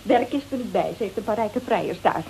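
A woman's voice: mid-range voice, fairly quick, vocal fry, mellow, a little hiss or hum, lightly acted, rough recording. An actress in a radio play, playing a brash, coarse-tongued married woman confessing under threat in hesitant, colloquial speech. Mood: chatty, confiding. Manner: hesitant, colloquial, peppered with little tags. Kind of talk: Dutch radio play dialogue.

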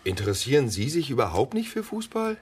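An actor playing an eager, talkative, pedantic police detective in a German radio play, earnest and explanatory. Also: male voice, mid-range voice, sing-song, brisk, husky. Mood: eager, insistent. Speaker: actor in radio crime drama.

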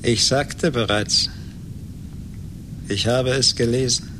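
Guy doing smug scheming villain in a radio play, slow n deliberate, rumbly noisy old tape. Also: fairly even intonation, natural voice. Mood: cool, gloating. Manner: measured, deliberate, self-assured. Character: cool, smug, scheming man who ruined a former friend. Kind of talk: German radio play acting.

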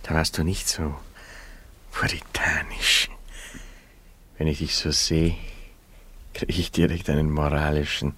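Radio play: cynical womaniser, very low husky voice, mock-solemn, wheedling. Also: level tone, measured pace, pauses often, sharp tone, full character voice. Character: self-pitying, cynical womaniser and political comrade. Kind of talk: radio drama acting.

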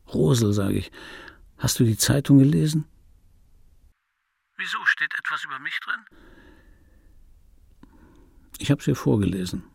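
An actor playing an embittered, wistful dubbing actor in his fifties, delivering a dry, brooding radio play monologue in a husky voice. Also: mid-range voice, lightly acted. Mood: hurt, resigned. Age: 50s. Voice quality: husky, gravelly.

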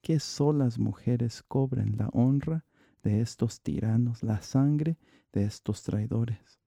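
A man recites a dramatic monologue in classical verse. His voice is very dark and soft-edged, with a gravelly creak and an unhurried pace. The sound is close and dry. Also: medium pitch, dry recording. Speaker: monologue reader.

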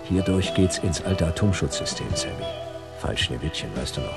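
A man playing a world-weary private detective in a noir sci-fi radio play, terse, dry and deadpan. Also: deep voice, moderate pace, over loud music. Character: world-weary, laconic hard-boiled male private detective.

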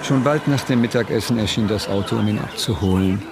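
A man's voice: fairly low pitch, brisk, crowd noise, natural voice. A man giving composed, literary scripted narration as the narrator of a radio drama. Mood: composed, descriptive. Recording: clear music behind voice.

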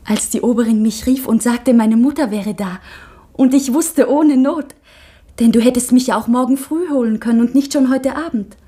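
An anxious young schoolgirl from an old radio play confides in a high, airy voice. She talks intensely and falters when emotion rises. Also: moderate pace, warm tone, breathy edge. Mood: confiding. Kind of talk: 1960s radio drama acting.

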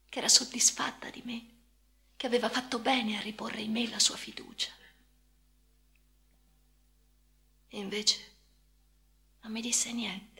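An actress playing a haunted, obsessive woman in an intimate radio drama monologue, breathy and often whispering, with slow, halting, intense phrasing. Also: a little high, bright, forceful tone. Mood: desperate, yearning. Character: haunted, obsessive female amputee confiding in her chosen successor. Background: music.

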